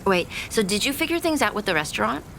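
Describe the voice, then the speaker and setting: A guarded woman in an audio drama who doesn't buy what she hears. She is blunt and clipped, protective and skeptical, in a medium-pitched voice over faint noise. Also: big pitch swings, quick pace, creaky, fairly bright tone. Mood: shocked. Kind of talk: naturalistic audio drama acting.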